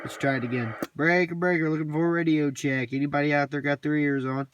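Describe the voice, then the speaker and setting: A man's voice: on the high side, fairly even intonation, quick pace, highs cut off. Folksy man over noisy background. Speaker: CB radio hobbyist vlogger. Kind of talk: casual handheld vlog talk. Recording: noisy hiss and radio clicks.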